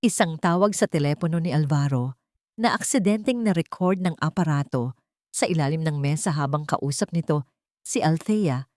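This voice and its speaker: A Filipino woman reading a dramatic romance story as a storyteller, in a solemn, measured, emphatic way. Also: sits low, darkish tone, dry recording, studio quality.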